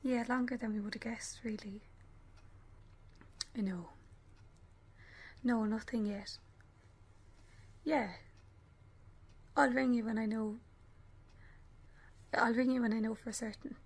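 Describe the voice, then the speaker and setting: A woman acting a grieving young mother in a radio play, numb and fragile, with a hushed, breathy, hesitant delivery. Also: on the high side, fairly even intonation, heavily acted. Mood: numb, polite. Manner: hesitant, halting, subdued.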